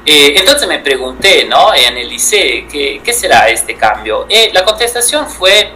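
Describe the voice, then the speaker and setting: A man's voice: a little high, big pitch swings, measured pace, sharp tone, slight background noise, rough recording. A man in his thirties from Italy, speaking Spanish with an Italian accent, answering interview questions thoughtfully with frequent hesitations. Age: 30s. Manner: thoughtful, hesitant, many fillers and restarts. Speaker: guest photographer.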